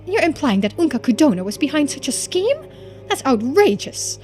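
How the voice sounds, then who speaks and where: A haughty video game businessman, voiced by a woman, speaks with elaborate, pompous courtesy and is quick to take offence. The pitch is on the high side and very animated, over faint music. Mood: offended. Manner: pompous, formal, indignant phrasing. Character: haughty, easily offended, formally polite businessman.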